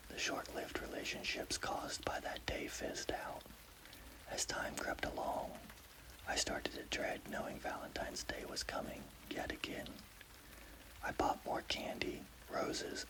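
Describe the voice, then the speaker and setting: A man telling a scary story in a breathy, unvoiced ASMR whisper. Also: rough recording. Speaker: horror story narrator.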